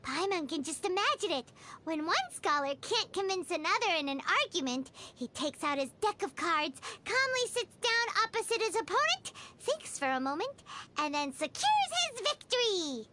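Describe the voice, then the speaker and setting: A tiny naive fairy companion with an extremely high, squeaky, childlike voice. The delivery is excitable and exaggerated, leaping around in pitch like an anime game mascot. Loud noise sits on the recording. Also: female voice, normal speed. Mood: excited. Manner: bubbly, exaggerated, speaks of self in third person. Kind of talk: anime video game voice acting.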